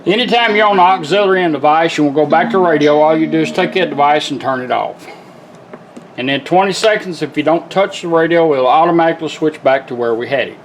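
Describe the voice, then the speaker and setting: A man's voice: a little high, fast, background noise. A man giving an informal, plain-spoken, step-by-step demonstration of a product. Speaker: car radio builder giving a product demonstration. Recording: music playing behind the voice.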